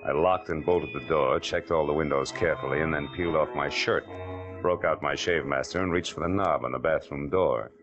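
Hardboiled american private eye, very low voice, dry and wry, old radio noir, music behind. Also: male voice, brisk, muffled recording. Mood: wry narrating. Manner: wry, terse, sardonic. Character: wry, hard-boiled male private detective. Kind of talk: 1940s radio noir narration and acting.

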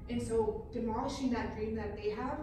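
A woman's voice: fairly high pitch, fairly even intonation, normal speed, noisy background. A young woman panelist speaking earnestly in a panel discussion, recorded from far off in a large hall. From United States. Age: young adult. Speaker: panelist, former art student. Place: large hall with brick walls. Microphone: far room mic.

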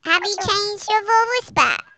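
Very high squeaky helium-effect voice. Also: slow, sharp tone, slight background noise. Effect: helium-style high-pitched voice changer. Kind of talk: casual joking chat.

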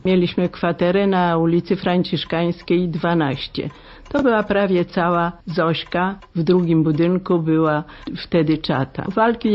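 Elderly woman recollecting, slightly dull recording. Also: fairly low pitch. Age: 80s. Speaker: war veteran giving testimony. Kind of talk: spoken personal recollection.

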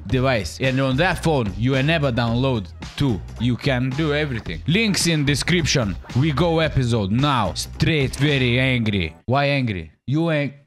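A man in his thirties with a Lithuanian accent, streaming games in blunt, crude, deadpan broken English on a close desk microphone. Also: mid-range voice, unhurried, few pauses, soft background music. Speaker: gaming streamer. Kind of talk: comic gameplay chat. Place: small indoor streaming room.

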